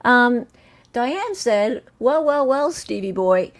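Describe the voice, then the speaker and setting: An Australian woman hosting a casual live-stream chat, excitable and talkative, reading out viewers' comments. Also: on the high side, takes it slowly. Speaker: livestream host.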